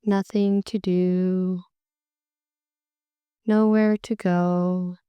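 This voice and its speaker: A woman leading a guided meditation, speaking slowly and softly in long, soothing phrases. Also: fairly low pitch, little pitch movement, smooth, dry recording, muffled recording. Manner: slow, soft and soothing, drawn-out phrases.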